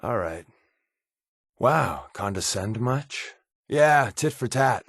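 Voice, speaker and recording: A man's voice: medium pitch, animated, slow pace, slightly rough, no echo, clean studio sound, exaggerated voice. A man playing a secretive, sardonic spy for a resistance underground in a video game, with an American accent and a laid-back, joking delivery. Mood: sardonic, dry.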